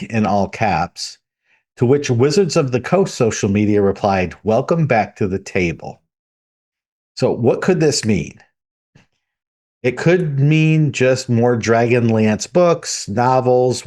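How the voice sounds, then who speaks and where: A man co-hosting a tabletop gaming podcast, reading out news items in a steady, measured way. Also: medium pitch. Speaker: podcast co-host.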